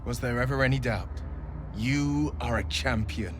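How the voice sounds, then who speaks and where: An actor playing an earnest, noble young male adventurer in a fantasy game, speaking with measured sincerity. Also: mid-range voice, noisy background, lightly acted. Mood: proud, reassuring. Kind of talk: dramatic fantasy game acting.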